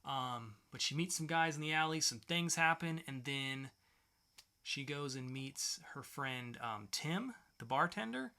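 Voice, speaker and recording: A man's voice: fairly high pitch, expressive, measured pace, bright, forceful tone. A man co-hosting a podcast, reviewing comic books in an informal, enthusiastic way. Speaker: podcast co-host. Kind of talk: casual comic book review chat.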